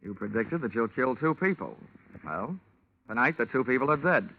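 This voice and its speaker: A man's voice: medium pitch, very animated, moderate pace. A man playing a wry, hard-boiled American insurance investigator in a radio detective drama, with clipped, sardonic, tough-talking delivery. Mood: accusing. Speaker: detective and narrator. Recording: muffled, noisy old radio broadcast recording.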